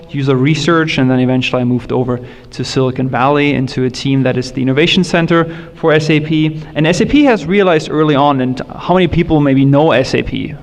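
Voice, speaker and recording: A man from Germany with a German accent, giving friendly, fluent opening remarks as a panelist. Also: medium pitch. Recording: echoey hall with long reverb.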